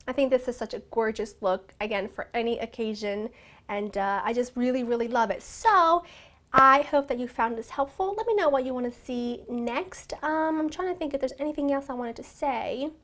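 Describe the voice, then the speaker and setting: A woman in her thirties, a little raspy from a cold, chatting through a makeup tutorial to her viewers from a small room at home. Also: on the high side, moderate pace. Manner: chatty, gushing, warm address to viewers. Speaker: beauty vlogger.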